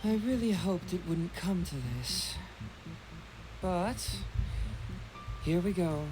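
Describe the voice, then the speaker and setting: A woman's voice: fairly low pitch, takes it slowly, background noise. A woman voicing a young male detective in a video game, confident, composed and teasing. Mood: resigned.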